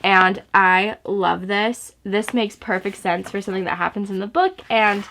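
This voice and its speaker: A woman's voice: medium pitch, measured pace, bright, forceful tone, a little hiss or hum. A young woman in a casual book haul vlog, speaking from home by her bookshelves, slightly stuffy from a sore throat and gushing with enthusiasm. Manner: gushing, enthusiastic, chatty with fillers. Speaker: book vlogger. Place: home room in front of bookshelves.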